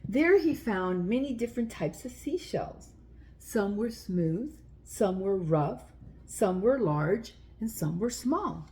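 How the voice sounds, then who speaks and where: A woman with a mid-pitched voice hosts a story time for young children. She speaks in the style of a picture-book read-aloud. Her phrasing is simple and explanatory, aimed straight at her little listeners. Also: big pitch swings, slow pace, a little mellow.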